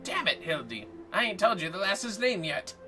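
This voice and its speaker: A woman voicing a cagey, rough-spoken male informant in a video game, wry and colloquial. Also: medium pitch, sing-song, normal speed, music in the background, close to an everyday voice. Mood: dry, matter-of-fact. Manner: colloquial, dropped grammar, wry.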